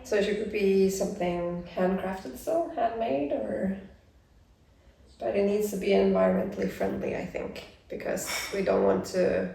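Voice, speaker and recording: A woman's voice: mid-range voice, a little slow, creaky, warm tone, very echoey, recorded from a distance, rough recording. A woman in her forties from Norway, chatting casually and searching for words. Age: 40s. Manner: searches for words, laughs easily. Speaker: family vlogger. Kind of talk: casual chat.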